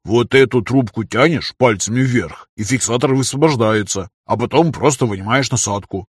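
A man's voice: medium pitch, moderate pace, slightly rough, no echo, clean studio sound, natural voice. A man voicing a brash, boastful young workshop lad with a cocky drawl in an audiobook. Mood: self-important. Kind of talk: audiobook character voice.

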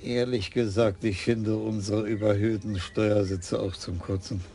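An actor playing a wry, stubborn police commissioner in a radio crime play. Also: male voice, fairly low pitch, little pitch movement, measured pace, mellow, a little hiss or hum. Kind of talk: radio drama acting.

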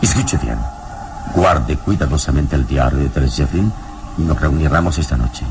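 A man acting a calm, commanding adventure hero in a radio serial, speaking in a solemn, measured way. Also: extremely low pitch, background noise. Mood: cautious, grave.

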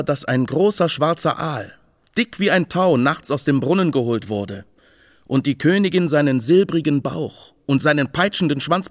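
A man's voice: fairly high pitch, dry recording, studio quality. An actor playing a mysterious witness who recounts a queen's secret in a radio play.

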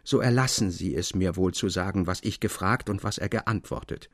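A man's voice: deep voice, normal speed, no room sound, studio quality, pitched below the performer's own voice. A man reading the part of an earnest, intense young scholar in a literary story, with solemn, formal phrasing. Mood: formal, persuasive.

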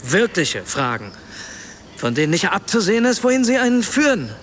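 An earnest writer full of self-doubt, his voice on the high side. He picks his way through sentences hesitantly, apologetic yet probing, in naturalistic radio drama dialogue. A faint rumble of vehicles sits behind him. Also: very animated, normal speed, fairly bright tone. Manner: hesitant, self-interrupting, searching for words.

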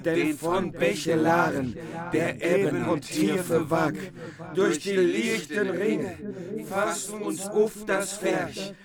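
A man's voice: high-pitched, steady tone, slow, over a noisy crowd. A man declaiming medieval verse in an old-fashioned recitation manner.

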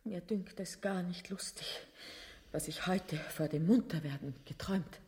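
Eine Schauspielerin spielt im Hörspiel eine ängstliche, theatralisch tragische Königin, die unheilvoll deklamiert. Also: female voice, on the low side, a little slow, mellow. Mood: pleading, worried. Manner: declamatory, foreboding. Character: anxious, grandly tragic queen warning her husband. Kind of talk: theatrical radio drama acting.

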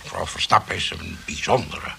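Smug gentleman rival, heavy creak, very forceful edge, pompous, hesitant, mocking, radio play, noisy old recording. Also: low voice, expressive, fairly quick, heavily acted. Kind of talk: radio play dialogue acting.

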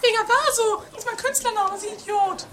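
A woman's voice: very high-pitched, brisk, a bit bright. A woman playing a vain, scatterbrained film actress with nervy, whining, theatrical delivery in a comedic radio play. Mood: haughty, indignant. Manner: theatrical whining and lamenting, haughty.

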